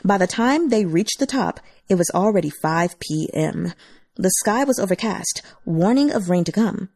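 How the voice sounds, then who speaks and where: A woman's voice: on the low side, lively intonation, normal speed, darkish tone, no room sound. Woman narrating a story, dry close sound. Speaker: audiobook narrator.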